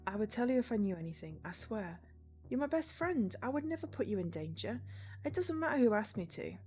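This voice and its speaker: A woman's voice: fairly high pitch, sing-song, brisk, a little mellow, faint music behind, pitched above the performer's own voice. A woman voicing an evasive female cyborg in a visual novel, insistent and defensive. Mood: pleading. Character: evasive, pleading female cyborg best friend.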